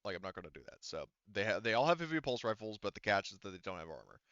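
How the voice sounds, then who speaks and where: A man with a smooth, low voice runs a tabletop roleplaying game in a casual, conversational way, swearing freely as he thinks aloud. The recording is dry and a bit dull. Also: big pitch swings, very fast, fairly bright tone, dry recording. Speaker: game master.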